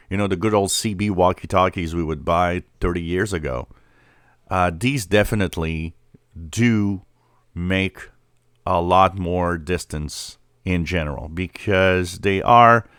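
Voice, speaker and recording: Deep-voiced man explaining casually. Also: fairly bright tone, dry recording, studio quality. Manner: hesitant, conversational, repeats points plainly. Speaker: amateur radio hobbyist presenter.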